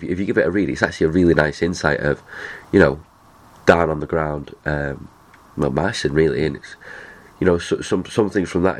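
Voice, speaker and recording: Male podcast host, very low voice, stuffy nose from a cold, rambling chatty delivery. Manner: rambling, chatty, many restarts. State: nasal from a cold. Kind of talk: casual chat.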